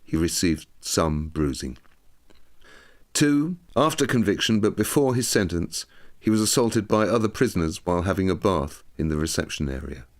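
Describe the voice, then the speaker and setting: A man reading a scripted documentary audiobook in a measured, articulate, expressive way. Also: deep voice. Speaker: audiobook narrator.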